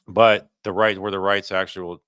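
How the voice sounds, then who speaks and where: A man hosting a livestream, giving unscripted legal commentary and answering viewer questions in a halting, conversational way, often rephrasing himself. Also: low voice, flat delivery, fairly bright tone, clean tone, dry recording, clean studio sound.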